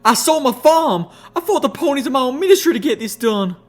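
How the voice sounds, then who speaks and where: A man voicing a fiery, proud country mare with a drawl, shouting in strained, indignant outbursts. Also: fairly high pitch, big pitch swings, fairly quick, voice pushed up. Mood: furious. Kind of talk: audiobook reading.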